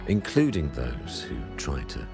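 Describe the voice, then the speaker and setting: Very deep, slow, solemn holy leader. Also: male voice, wildly swooping pitch, gravelly, heavily acted. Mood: preaching, resolute. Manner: grave, measured, sanctimonious, formal. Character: solemn, pious male religious leader of a fantasy city. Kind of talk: scripted video game voice acting, oratory. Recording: loud music behind the voice. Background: loud game music.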